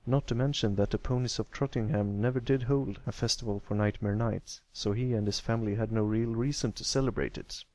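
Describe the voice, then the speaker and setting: A man's voice: lowish voice, steady tone, brisk, hardly any pauses, a little mellow. A man reading scripted story narration as an amateur audiobook narrator.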